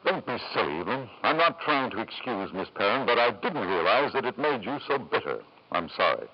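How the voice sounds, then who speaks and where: Controlling husband, muffled old radio. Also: male voice, on the low side, big pitch swings, a little fast. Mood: placating. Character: ruthless, possessive rich husband and doting father. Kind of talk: 1950s radio drama acting. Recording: dull, lo-fi 1950s radio recording.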